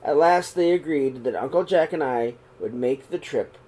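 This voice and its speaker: A middle-aged man reading a children's picture book aloud in a small room, slow and expressive. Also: medium pitch, a little hiss or hum, rough recording. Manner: reads slowly and expressively, voices the characters' calls. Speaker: storybook reader. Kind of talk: read-aloud storytelling for children.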